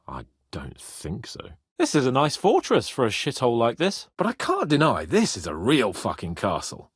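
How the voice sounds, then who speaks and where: A man playing a spoiled, cocky young nobleman in a video game, flippant and theatrical, swinging between whiny and wry, with a husky voice. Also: mid-range voice, huge pitch swings, moderate pace, no echo, clean studio sound. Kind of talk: video game character voice acting.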